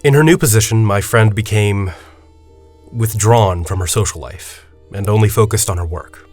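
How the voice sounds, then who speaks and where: An actor playing a forty-year-old university headmaster in an audio drama, a secretive academic who speaks in a deliberate, articulate, confiding way. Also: male voice, fairly low pitch, a little mellow, soft background music, natural voice. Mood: confiding, somber. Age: 40s. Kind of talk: audio drama dialogue and storytelling.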